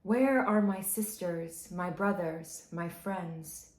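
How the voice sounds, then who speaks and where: A woman reads verse slowly and gravely, her voice sinking to a hush at the close of lines. The recording carries a roomy echo around her. She keeps an unhurried, mournful pace. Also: lowish voice. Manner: grave, mournful, drops to a hush at line ends. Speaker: family member reading a poem. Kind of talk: slow, emotional poetry reading.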